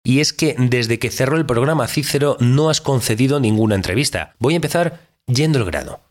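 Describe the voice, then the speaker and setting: A man's voice: mid-range voice, expressive, brisk, close to an everyday voice. A man playing a direct, insistent radio interviewer in a scripted audio drama, speaking. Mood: cordial, direct. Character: direct, probing male radio interviewer with no-nonsense questions. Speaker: podcast host interviewing an astronaut. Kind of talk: scripted fictional interview show.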